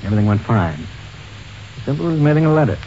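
A muffled, faintly hissy vintage radio recording carries a calculating male smuggler. He is self-assured and smooth in manner, with a widely swinging, animated delivery in classic radio drama style. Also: medium pitch, quick pace. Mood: smugly confident. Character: calculating, cold-blooded male art dealer and diamond smuggler. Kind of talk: 1940s radio drama acting.

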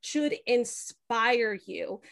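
A woman's voice: fairly high pitch, very slow, creaky. A young woman chatting casually in a podcast interview, telling things in a talkative way with lots of "like" and acted-out quotes. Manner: chatty, animated storytelling with frequent "like" and quoted dialogue. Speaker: podcast host being interviewed.

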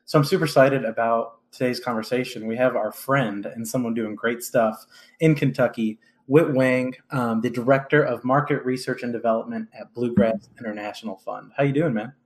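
A man's voice: mid-range voice, fairly even intonation, normal speed. A man hosting a podcast in an informal, chatty, conversational way. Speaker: podcast host.

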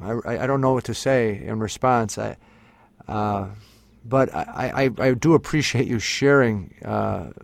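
Halting male interviewer, dry sound. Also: mid-range voice, creaky, no echo. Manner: halting, tentative, careful. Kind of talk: conversational interview.

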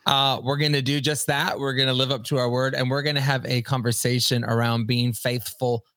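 A man hosting a podcast interview in an energetic, enthusiastic way. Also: mid-range voice, fairly quick, dry recording. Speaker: podcast host.

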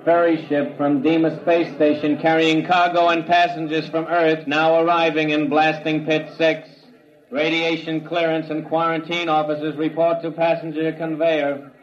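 An actor playing an impersonal, official spaceport announcer in a 1950s radio drama. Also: male voice, a little high, normal speed, natural voice. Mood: flat, official. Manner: monotone, official. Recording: dull old radio recording.

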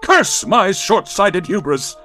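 Man playing a high-voiced, overwrought husband. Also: very animated, takes it slowly, pushed and bright, soft background music, heavily acted. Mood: indignant despair. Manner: overwrought, declamatory. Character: pompous, indignant husband in comic peril. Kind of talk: 1940s radio melodrama acting.

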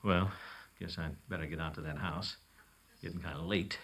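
A man's voice: sits low, steady tone, moderate pace, over music. An American man in 1940s radio comedy style, playing a blustering, cowardly middle-aged uncle who bumbles as an amateur detective. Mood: nervous muttering. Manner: bumbling, nervous muttering and chuckling. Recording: noisy old radio recording.

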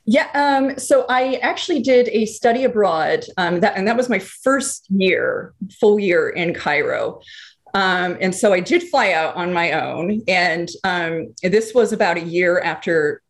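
A woman's voice: on the low side, animated, measured pace. A woman in her thirties, a former archaeologist turned screenwriter, telling her story in a chatty way with many fillers and restarts. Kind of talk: casual interview storytelling.

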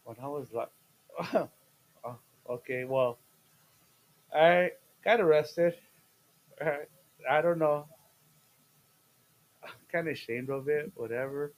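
A man in his fifties, a guest chatting casually on a podcast, playful and jokey, with sudden loud bursts and laughs mid-sentence. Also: fairly high pitch, slow pace, pauses often, low-quality recording. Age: 50s. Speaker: guest, host's father.

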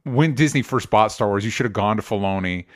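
A man's voice: on the low side, a little fast. A man co-hosting a casual podcast, rambling, with frequent restarts and fillers. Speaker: podcast co-host.

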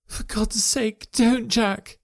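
A man's voice: sits high, fairly even intonation, takes it slowly, creaky, voice pushed up, heavily acted. A man voicing a pleading Victorian wife. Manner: imploring, then proud and firm.

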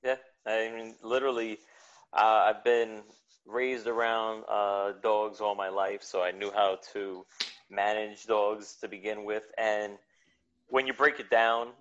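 A man's voice: on the low side, flat delivery, measured pace, a bit bright. A man guest on a podcast, explaining his dog business earnestly and at length, with many fillers. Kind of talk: casual interview chat. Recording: dull lo-fi sound.

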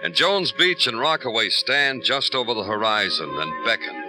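A brooding American police detective in a vintage radio crime show. His voice sits low, and he narrates tersely and deadpan, in poetic hard-boiled phrases. Music swells behind him. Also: rough recording. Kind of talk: 1950s radio drama acting, hard-boiled first-person narration.

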